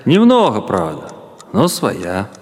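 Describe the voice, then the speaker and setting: A wary village peasant who talks very slowly. His pitch rises and falls widely as he gives meek, hesitant replies in a radio drama. A long reverb surrounds him. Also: wildly swooping pitch, a little mellow, heavily acted. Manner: hesitant, guarded, brief answers. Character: wary village peasant man, answering meekly. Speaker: actor in a radio play.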